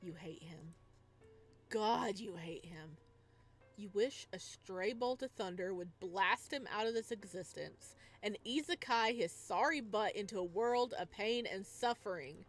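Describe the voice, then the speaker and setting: Female game streamer, mid-pitched, dramatic read-aloud with casual commentary over background music. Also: a little slow.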